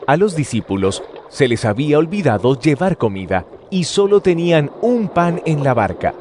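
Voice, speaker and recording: A man's voice: a little high, big pitch swings, normal speed, background noise. A man narrating scripture from a script for an audio drama.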